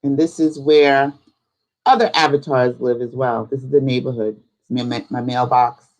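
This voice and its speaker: A woman hosting a live spirituality stream, chatting casually in an unhurried, rambling way. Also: rumbling low, fairly even intonation, a little mellow, low-quality recording. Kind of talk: casual livestream chat.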